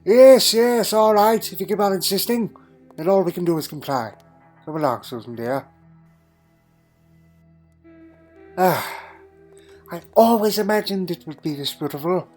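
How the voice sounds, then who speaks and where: A man's voice: sits high, lively intonation, moderate pace, a little mellow, soft background music. A man playing a crotchety, dry-witted elderly time traveller in a fan audio drama, fussy and full of questioning hums. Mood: wry, uneasy. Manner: fussy, punctuated with questioning hmms.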